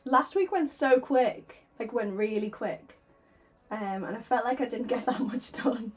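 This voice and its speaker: A woman's voice: a little high, unhurried, faint music behind. Chatty woman with sore throat. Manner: chatty, giggly, a little nervous. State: sore throat, slightly hoarse. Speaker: artist streaming a painting session. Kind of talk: casual livestream chat. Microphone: desk microphone.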